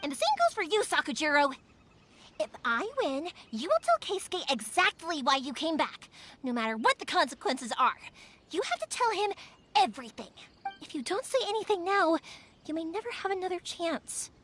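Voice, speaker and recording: A woman's voice: extremely high pitch, normal speed, heavily acted. A woman voicing a spirited young fireworks maker who meddles boldly and rallies others in a video game. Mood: determined. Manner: energetic, pushy, rallying. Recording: game audio with music behind.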